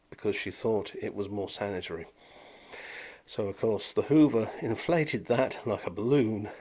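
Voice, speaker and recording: A middle-aged British man with a fairly low voice talks casually, like a hobbyist showing his handiwork. He rambles and muses, with a dry, wry sense of humour running through his delivery. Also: a little mellow. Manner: wry, dryly humorous, musing. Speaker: vintage audio hobbyist and repairer presenting. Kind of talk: casual, rambling demonstration chat with anecdotes.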